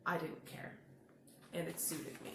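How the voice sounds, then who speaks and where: A woman's voice: unhurried, close to an everyday voice. A woman voicing a glum, misunderstood storybook bad seed trying to be good, with theatrical expression. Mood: brooding, hushed. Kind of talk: children's picture book read-aloud. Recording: echoey room with faint noise.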